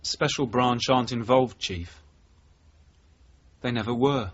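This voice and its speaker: A British actor playing a dogged, level-headed detective sergeant in a radio drama, terse and measured as he confronts his boss. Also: mid-range voice, slight background noise, close to an everyday voice. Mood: firm. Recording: dull, muffled old radio recording.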